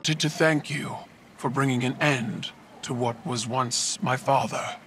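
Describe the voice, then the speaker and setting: Man voicing a forceful, imperious alien warrior ruler. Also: mid-range voice, unhurried, recorded from a distance, a little hiss or hum, lightly acted. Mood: solemn resolve. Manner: formal, imperious. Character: proud alien warrior empress, stern and martial. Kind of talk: video game cutscene acting.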